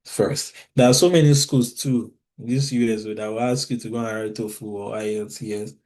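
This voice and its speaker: A man's voice: fairly low pitch, steady tone, moderate pace, darkish tone, rough recording. A man from Nigeria with a Nigerian English accent, giving a conversational talk full of fillers and restarts. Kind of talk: informal talk.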